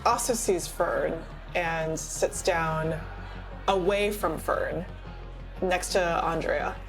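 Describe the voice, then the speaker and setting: A woman's voice: on the low side, fairly even intonation, takes it slowly, soft background music, low-quality recording. A woman improvising casually as a player in a tabletop roleplaying game.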